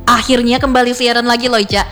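A woman's voice: fairly high pitch, steady tone, fast talker, a bit bright, faint music behind. A young woman hosting a radio show in a studio, talking in a chatty, giggly way full of fillers. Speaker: radio host. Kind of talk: casual radio chat.

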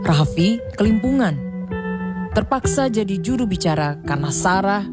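A woman narrating the story in a calm, scripted way. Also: medium pitch, moderate pace. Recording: loud music underneath.

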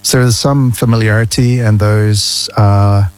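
A man, a guest ambassador giving measured, friendly answers in a radio studio interview. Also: fairly low pitch, steady tone, a little mellow. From New Zealand. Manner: measured, friendly, explains at length.

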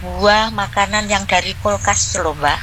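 A woman's voice: mid-range voice, unhurried, sharp tone. A woman, chatting casually as a phone-in caller on a radio show. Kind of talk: casual chat. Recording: phone call with faint noise.

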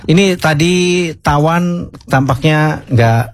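A man hosting a radio show, taking calls and interviewing a guest in a brisk, upbeat way. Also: a little high, no echo. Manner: brisk, says "siap" a lot. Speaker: radio host. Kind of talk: radio chat.

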